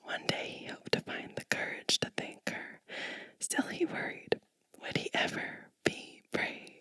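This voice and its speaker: A woman whispers a storybook in a soft ASMR style. Her breathy delivery is slow and gentle, with a crisp, bright edge and pauses between short phrases. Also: no echo, poor audio. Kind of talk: whispered ASMR storybook reading with chatty asides.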